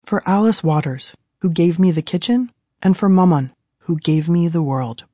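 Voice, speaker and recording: American woman, thirties, husky, dark-toned, audiobook narration, close dry studio sound. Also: fairly low pitch, normal speed, no echo. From Southern California, USA. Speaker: author reading own audiobook.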